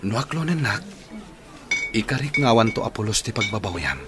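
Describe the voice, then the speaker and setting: An actor with an Ilocano accent playing a tender, devoted young suitor in a radio drama, coaxing and earnest. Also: male voice, on the low side, expressive, fairly quick, very noisy, lightly acted. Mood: earnest, pleading.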